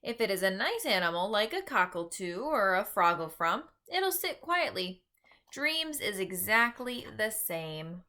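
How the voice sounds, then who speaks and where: A woman voicing an earnest, easily exasperated gentle male giant in a children's storybook, speaking emphatically in folksy broken grammar with invented words. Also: medium pitch, very animated, a little slow. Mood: explaining. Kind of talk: read-aloud children's storybook.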